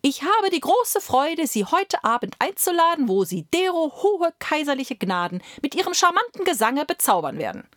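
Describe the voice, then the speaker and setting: A woman's voice: high-pitched, big pitch swings, normal speed, few pauses, fairly bright tone, dry recording, studio quality, pitched above the performer's own voice. A woman voicing a pompous male court chamberlain who speaks in a flowery, fussy way.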